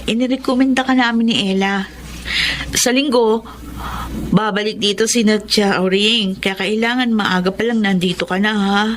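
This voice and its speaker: A woman's voice: fairly even intonation, moderate pace, slight background noise, close to an everyday voice. An actress in a radio drama playing a humble, grateful young woman who also narrates her own story with earnest pleading. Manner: earnest, pleading, voice rising high. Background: music bed.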